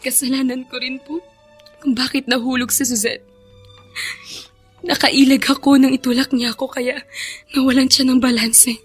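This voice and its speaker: A woman's voice: sits high, flat delivery, moderate pace, pauses often, breathy edge. An actress playing a kind, guilt-ridden young woman in a radio drama. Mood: remorseful. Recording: music bed behind the voice.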